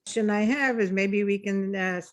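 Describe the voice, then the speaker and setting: Co-host, woman on a video call, light and casual, mid pitch. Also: moderate pace. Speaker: meeting co-host. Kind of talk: casual chat.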